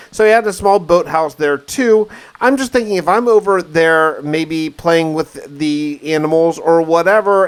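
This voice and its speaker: Male gaming host, voice a bit on the high side. Casual, chatty storytelling narration, lightly wry. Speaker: gaming video host narrating a survival playthrough. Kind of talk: casual first-person gameplay narration.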